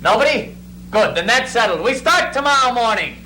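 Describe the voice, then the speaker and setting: A man's voice: very high voice, big pitch swings, normal speed, bright, forceful tone, heavily acted. A man playing a tough, driving stage director who gives commanding, emphatic orders to his cast in a theatrical readers theatre style. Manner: commanding, emphatic drill-sergeant delivery. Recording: noisy, humming amateur stage recording.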